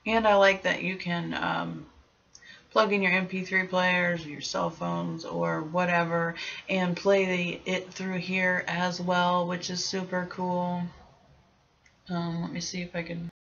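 A woman's voice: on the low side, slow pace, gravelly, fairly bright tone, lo-fi sound, slight background noise. A young adult woman giving a casual, informal product review, thinking aloud as she goes in a small room at home. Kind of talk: casual unboxing review chat.

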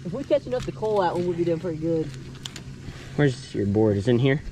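A teenage boy chatting excitedly while bass fishing from a boat outdoors, recorded on a head-mounted camera. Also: quick pace, a little hiss or hum, rough recording. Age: teens. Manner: excited, laughing, short remarks. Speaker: youth angler. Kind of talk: casual chat while fishing. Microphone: head-mounted action camera.

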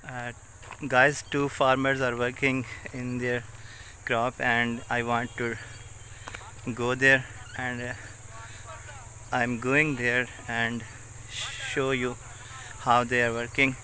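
Halting Pakistani-accented man, outdoors in fields. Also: medium pitch, flat delivery, bright, forceful tone, a little hiss or hum, low-quality recording. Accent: Pakistani English. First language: Saraiki. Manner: halting non-native English, many uh fillers. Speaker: village vlogger. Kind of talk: casual walking vlog. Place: outdoors in open farm fields. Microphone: phone held at arm's length. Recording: faint rural outdoor ambience.

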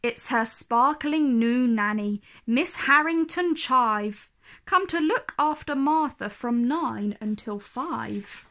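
A woman narrating a children's storybook aloud with expressive, deliberate phrasing. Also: on the high side.

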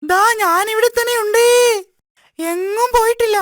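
A woman's voice: very high-pitched, unhurried, no room sound, clean studio sound. A woman voicing a lazy, restless little boy elephant in a children's cartoon, who grumbles and sulks. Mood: whiny. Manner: grumbling, sulky. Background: loud children's music.

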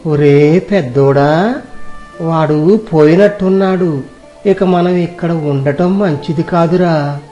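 A man acts out a grasping parent in a tale, with a mellow voice. The character schemes and gives orders, with music faintly behind. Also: fairly high pitch, slow, dull audio. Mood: scheming. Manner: scheming, commanding. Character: grasping parent plotting with an eldest son.

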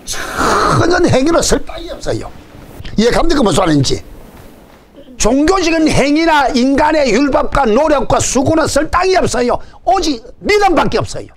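An older man with a Gyeongsang accent preaching an animated sermon at a stand mic on a church stage, calling for amens and joking with the congregation. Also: very high voice, moderate pace. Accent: Gyeongsang Korean. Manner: animated preaching with call-and-response and jokes. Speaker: pastor. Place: church stage before a banner. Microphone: stand mic in front.

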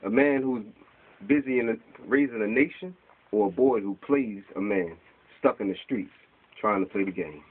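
A man's voice: fairly low pitch, normal speed, slightly rough. A man in his forties reading his rhyming spoken word poems aloud at an open mic in a small bookstore, recorded by a camera across the room. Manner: reads from papers, rhythmic rhyming delivery, gestures while reciting. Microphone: far camera. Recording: tinny lo-fi camera audio.

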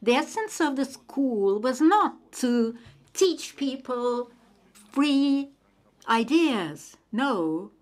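A woman interpreting a speaker's remarks live, in a measured, steady delivery. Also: high-pitched. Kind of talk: live interpretation of a panel discussion.

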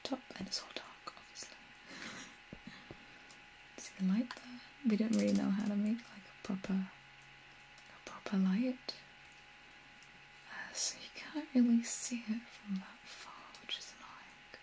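A young woman giving whispered ASMR commentary over a video game, breathy, soft, gentle and a little hesitant. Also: mid-range voice, fairly even intonation, a little slow, pauses often, rough recording. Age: young adult. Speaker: video game streamer and tour guide.

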